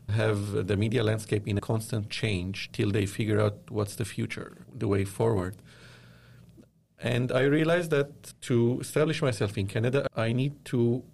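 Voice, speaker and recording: Low, creaky Lebanese-accented man. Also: a little mellow. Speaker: interviewed media company founder. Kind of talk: interview answers, explanatory.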